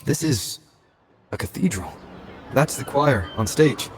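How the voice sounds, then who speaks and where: An actor playing a calm, inquisitive young male adventurer in a video game. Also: medium pitch, measured pace, natural voice. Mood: calm, pondering. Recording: loud music under voice.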